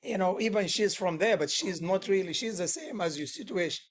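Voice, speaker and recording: High-voiced man talking shop as a musician. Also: fairly quick. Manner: earnest, rambling, loose grammar with many restarts. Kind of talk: casual workshop talk.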